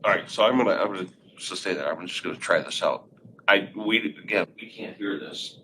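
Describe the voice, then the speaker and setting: A man hosting a live webinar demo in a conference room, asking guiding questions in a relaxed way. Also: sits low, fairly quick, rough recording. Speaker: webinar host. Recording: room mic with clear background noise.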